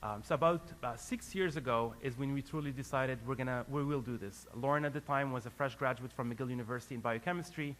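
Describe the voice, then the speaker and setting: A man's voice: medium pitch, quick pace, hardly any pauses, fairly bright tone, a little hiss or hum. A man who grew up in Lebanon, a company founder giving a business presentation to an audience, earnest and hesitant, with many fillers and restarts.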